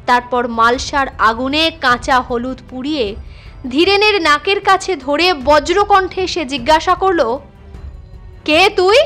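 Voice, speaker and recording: A woman's voice: high voice, lively intonation, measured pace, fairly bright tone. A woman narrating a literary story from a script as an audiobook reader. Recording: music behind the voice.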